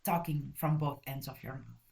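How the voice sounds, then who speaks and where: A woman's voice: a bass voice, normal speed, vocal fry, a little mellow. A woman from Belgium giving a casual, rambling book review, often correcting herself mid-sentence and wandering off on tangents, with a blunt, direct manner. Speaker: channel host reviewing a book.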